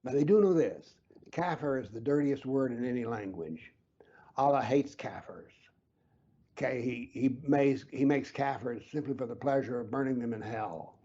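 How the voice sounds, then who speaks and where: Guy explaining his views in an interview, earnest, sometimes drops to a whisper, mellow tone, muffled lo-fi audio. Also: normal speed. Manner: earnest, emphatic, drops to a low whisper for emphasis. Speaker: interview guest, author.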